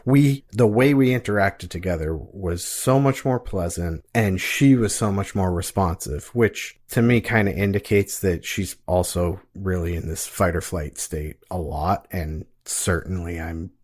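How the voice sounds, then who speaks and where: A man's voice: low-pitched, measured pace, few pauses, a little mellow. A man in his forties talking candidly in a casual podcast conversation, laughing at himself now and then. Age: 40s. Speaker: podcast co-host.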